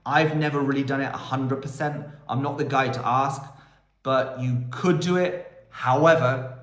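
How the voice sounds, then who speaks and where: A man's voice: medium pitch, steady tone, measured pace, a bit bright. A man in his thirties talking about learning languages in a casual, enthusiastic Q&A, wandering off on tangents. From United Kingdom. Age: 30s. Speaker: language school owner and polyglot vlogger. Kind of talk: casual Q&A monologue. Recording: echoey room.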